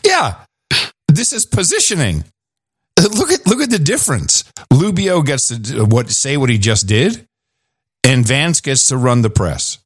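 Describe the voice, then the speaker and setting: A man's voice: mid-range voice, very animated, unhurried, husky, no room sound, studio quality. A man hosting a talk show, giving opinionated, dismissive commentary in a casual, bantering style.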